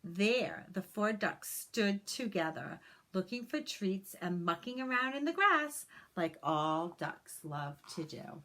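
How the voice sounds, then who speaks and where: Female storybook reader, mid-pitched, unhurried and expressive, read-aloud for young children.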